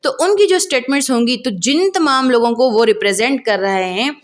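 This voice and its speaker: A woman with a Pakistani accent teaches law in a somewhat high voice. She explains didactically, switching between her own language and English. She often asks a question and then answers it herself. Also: brisk. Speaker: law lecturer. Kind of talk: explanatory lecture mixing Urdu and English.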